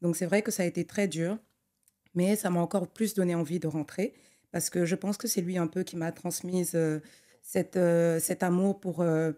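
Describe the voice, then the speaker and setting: A young adult woman who grew up in Togo, an architect talking through her career as a podcast guest, thoughtful and full of pauses and restarts. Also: lowish voice, steady tone, mellow. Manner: thoughtful, many fillers and restarts. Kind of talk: conversational interview.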